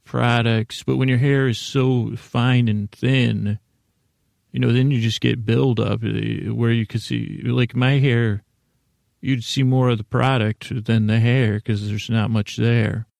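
A man hosting a podcast in a meandering, halting, self-deprecating comedic monologue, with a gravelly, creaky voice. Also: medium pitch, fairly even intonation, darkish tone, no room sound. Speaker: podcast host.

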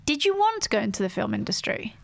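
Bubbly high-voiced woman hosting a podcast. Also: very animated, quick pace, gravelly. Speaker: podcast host. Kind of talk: casual podcast interview.

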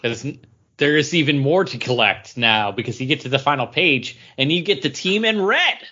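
A man's voice: on the high side, lively intonation, normal speed, pushed and bright, breathy edge, muffled recording. A man bantering casually as a co-host on a podcast.

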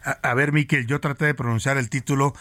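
A man's voice: mid-range voice, steady tone, brisk, fairly bright tone, no room sound. A middle-aged Mexican man hosting a radio news interview, chatty with frequent restarts. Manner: conversational, frequent restarts and fillers.

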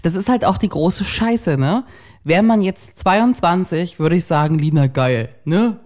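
A woman's voice: on the low side, lively intonation, a little slow, darkish tone. A woman in her thirties co-hosting a casual podcast chat, blunt and outspoken, with casual swearing. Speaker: podcast co-host.

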